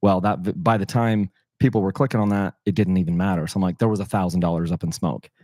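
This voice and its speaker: Slightly hoarse, chatty man, dry close sound. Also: low-pitched, fairly even intonation, fast, dark tone, no room sound. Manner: rambling, self-correcting, chatty. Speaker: channel host running a sweepstakes livestream. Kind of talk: casual livestream talk. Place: room in his house.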